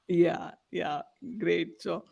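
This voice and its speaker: A woman hosting a podcast panel in a casual way, often halting as she searches for words. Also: lowish voice, steady tone, creaky, darkish tone, muffled recording. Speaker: podcast host.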